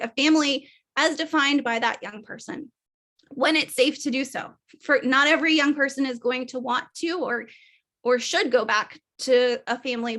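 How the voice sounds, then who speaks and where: A woman speaks on a webinar panel in a measured, advocating way, now and then asking "right?". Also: high voice, a bit bright. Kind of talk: webinar presentation.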